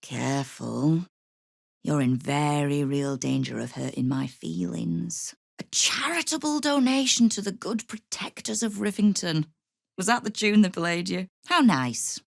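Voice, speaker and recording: A woman's voice: on the low side, lively intonation, measured pace, vocal fry, dry recording, heavily acted. An actress playing a shrewd, hard-edged female crime guild boss in a video game, with a dry, wry manner and veiled threats. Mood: menacing. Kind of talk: scripted video game voice acting.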